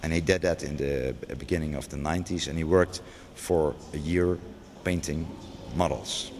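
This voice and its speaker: A man's voice: a bass voice, steady tone, normal speed, creaky, darkish tone. A middle-aged man, a museum curator talking off the cuff, hesitant with many restarts and fillers, recorded inside a large gallery. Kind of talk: unscripted gallery interview. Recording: long gallery reverb, faint background noise.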